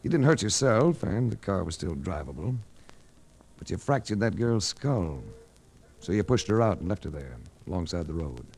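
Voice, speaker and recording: Low-voiced, hard-boiled private eye. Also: male voice, sing-song, moderate pace, darkish tone, breathy edge, heavily acted. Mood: accusing. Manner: clipped, sardonic, hard-boiled. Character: hard-boiled, unsentimental male private investigator, accusing and cool. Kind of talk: 1940s radio drama acting. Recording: noisy vintage 1940s radio recording.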